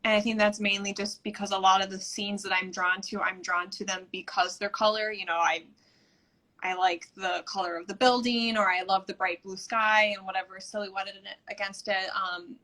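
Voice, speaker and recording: A woman guest on a live stream, chatting eagerly and poking fun at herself. Her voice is bright and forward, at a middle pitch. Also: rough recording. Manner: eager, self-deprecating, chatty. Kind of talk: casual chat on a live stream. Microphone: video call.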